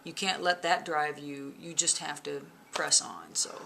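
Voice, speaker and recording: Woman bass player chatting at home, kinda gravelly, bright voice, lots of "you know"s, faint hiss behind. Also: a little slow. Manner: lively, chatty, laughs often, many fillers. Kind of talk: casual interview chat. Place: living room at home. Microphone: camera in front, close framing.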